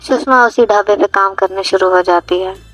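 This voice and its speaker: A woman's voice: medium pitch, normal speed, fairly bright tone, no room sound. A woman reading a scripted story as its narrator, with music playing behind her. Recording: dull lo-fi audio, music behind. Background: background music.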